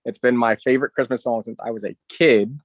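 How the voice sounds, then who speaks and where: Medium-pitched American man, dry sound. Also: fairly quick, slightly rough, no echo, lo-fi sound. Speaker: podcast co-host. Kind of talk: casual chat.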